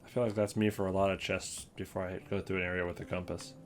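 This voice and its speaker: Low-voiced man, casual and hesitant. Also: level tone, fast, slight background noise. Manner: informal, hesitant, thinking aloud. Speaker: host ranking game voice lines. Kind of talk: casual commentary on a tier list.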